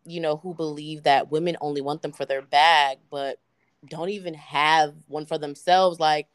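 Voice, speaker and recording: A young woman hosting a podcast in casual interview chat, lively, slangy and effusive. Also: low-pitched, moderate pace, dry recording. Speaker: podcast host.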